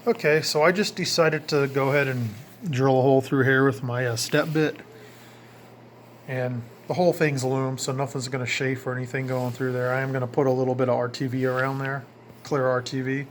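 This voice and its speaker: Male DIY hobbyist, mid-pitched everyday voice, plainspoken hands-on walkthrough, some ambient noise behind him. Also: steady tone, fairly quick. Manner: plainspoken, informal, pointing things out as he goes. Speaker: DIY hobbyist showing his own install. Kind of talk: casual walkthrough narration. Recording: noticeable ambient background noise.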